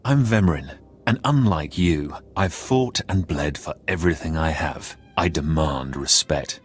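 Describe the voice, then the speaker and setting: A man playing a smug, threatening rival acolyte in a video game, husky and condescending. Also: extremely low pitch, measured pace, exaggerated voice. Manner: condescending, taunting. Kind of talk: dramatic video game dialogue.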